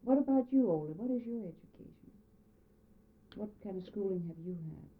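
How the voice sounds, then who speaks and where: Woman interviewer, measured questions. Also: medium pitch, lively intonation, husky. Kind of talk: oral history interview. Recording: narrow phone-like old tape.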